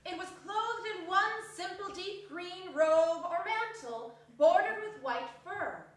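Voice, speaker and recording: Slow, high-voiced woman narrator. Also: animated. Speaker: narrator and reader. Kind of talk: theatrical dramatic reading. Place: performance hall, live reading. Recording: echoey hall, distant microphone.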